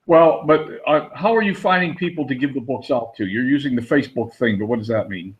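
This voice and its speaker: Chatty host with a Pennsylvania Dutch accent. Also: male voice, mid-range voice, brisk. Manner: chatty, calls on viewers by name. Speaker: webinar host. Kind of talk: live webinar chat. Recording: dull lo-fi audio.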